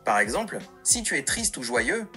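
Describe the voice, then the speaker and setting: Bright, lively synthetic assistant voice. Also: medium pitch, moderate pace, soft background music.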